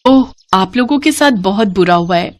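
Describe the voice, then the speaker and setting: Woman voicing a gentle, solemn storybook fairy. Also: medium pitch, animated, moderate pace, dry recording, natural voice. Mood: gentle, grateful. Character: gentle, grateful female forest fairy granting boons, stern with wrongdoers. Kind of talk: Hindi moral storybook acting.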